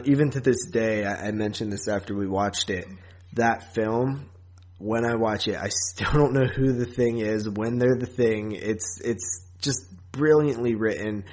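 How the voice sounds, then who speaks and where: Low-voiced man co-hosting a casual podcast. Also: darkish tone. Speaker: podcast co-host. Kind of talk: casual chat about horror films.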